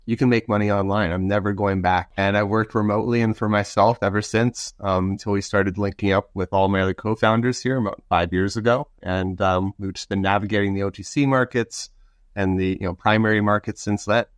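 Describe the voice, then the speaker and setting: Relaxed low-voiced man guest. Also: a little fast, dry recording, studio quality. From Romania. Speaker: podcast guest, co-founder. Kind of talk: casual podcast talk.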